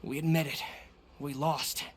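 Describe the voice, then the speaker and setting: An actor playing a sullen, defiant thug bent on revenge in a video game. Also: male voice, on the high side, level tone, unhurried, heavy background noise, lightly acted. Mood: sullen, defeated.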